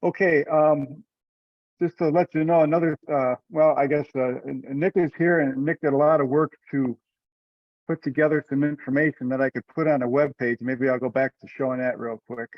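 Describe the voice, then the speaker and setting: A man runs an informal online meeting, talking in a relaxed, colloquial way at a medium pitch. The sound is dull and lo-fi, like a dry conference call with very little room. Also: moderate pace, no room sound. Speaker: meeting chair.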